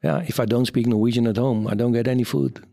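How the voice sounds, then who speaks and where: Gravelly Dutch-accented man, halting speech. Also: mid-range voice, fairly even intonation, dark tone, dry recording, soft background music. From Netherlands. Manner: halting, searching for words, many fillers. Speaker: retired army officer, interviewee. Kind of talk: reflective interview answers.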